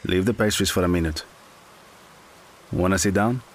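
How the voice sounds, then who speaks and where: A man acting a gentle, patient friend in an audio drama, consoling in a measured, coaxing way. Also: low-pitched, lightly acted. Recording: clear background hiss.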